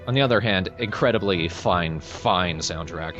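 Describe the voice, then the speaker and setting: A man with a low voice talks through a video game as host. His delivery is dry, casual and sweary, aimed at a co-host as much as the viewers. Game music plays behind him. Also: moderate pace, lo-fi sound. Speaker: let's play host. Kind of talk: casual gameplay commentary with a co-host. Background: video game soundtrack.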